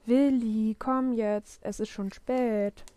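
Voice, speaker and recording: A woman's voice: mid-range voice, lively intonation, moderate pace, darkish tone, lightly acted. Woman acting an exasperated, scolding storybook dad. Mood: calling, impatient. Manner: stern, scolding, impatient. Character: exasperated father shouting for his dawdling small son. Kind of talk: children's storybook read-aloud.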